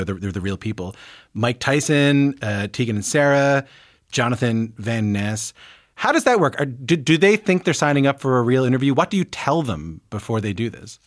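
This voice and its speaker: A man with a Newfoundland accent, hosting a relaxed radio interview. His pitch rises and falls in a lively way as he jokes about himself. The sound is close and dry. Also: moderate pace, no room sound. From Newfoundland, Canada.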